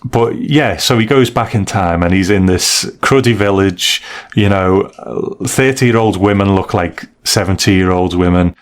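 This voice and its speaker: A man's voice: low-pitched, unhurried. A British man in a casual podcast chat, giving candid, conversational reviews of stories as a co-host. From United Kingdom.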